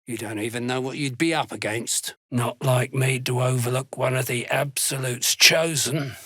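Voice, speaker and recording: A man voicing a gruff, fanatical dark dwarf slave hunter in a video game, gravelly, curt, threatening and full of contempt. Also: medium pitch, fairly even intonation, normal speed, dry recording, studio quality, natural voice. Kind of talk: video game character voice lines.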